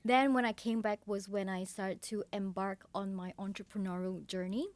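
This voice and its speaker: A woman's voice: medium pitch, steady tone, normal speed, no room sound. A young woman from Singapore, a sales coach being interviewed on a podcast, who talks in an earnest, upbeat way and often builds her points as lists. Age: young adult.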